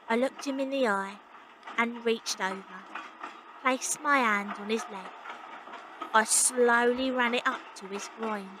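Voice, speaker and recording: A woman's voice: a little high, sharp tone, a little hiss or hum, low-quality recording. A young woman playing a plucky Victorian circus runaway who narrates her own story. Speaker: lead actress and narrator. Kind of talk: first-person audio drama narration.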